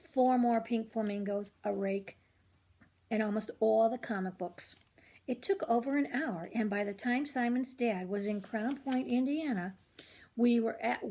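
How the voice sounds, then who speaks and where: A woman's voice: medium pitch, a little mellow. An older woman reading a children's chapter book aloud as a storyteller, expressively acting out the dialogue.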